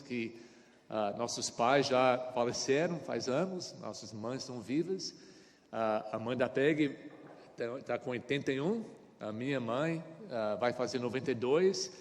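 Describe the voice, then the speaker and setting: A man's voice: medium pitch, big-room sound. A middle-aged man, a pastor with an American accent and English as his first language, preaching a sermon in Portuguese in short phrases with small grammar slips and a frequent "tá?". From United States. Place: church sanctuary.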